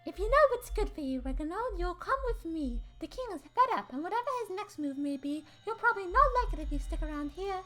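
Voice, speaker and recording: Squeaky, earnest filly knight. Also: male voice, lively intonation, fast talker, hardly any pauses, a bit bright, faint music behind. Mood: warning. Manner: earnest, dignified. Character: earnest young filly playing a noble pony knight.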